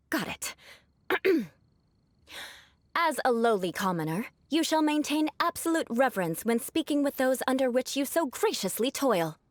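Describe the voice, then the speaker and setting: A woman's voice: sits high, very animated, unhurried, dry recording. A woman voicing a proud young noblewoman knight in a video game, with a formal, haughty manner. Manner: haughty, formal archaic phrasing.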